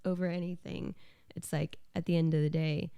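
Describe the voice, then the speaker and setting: A woman's voice: fairly low pitch, flat delivery, fairly quick, vocal fry, mellow, clean tone, no echo. A woman musician chatting casually as part of a podcast conversation. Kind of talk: casual chat. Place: living room with soundproofing.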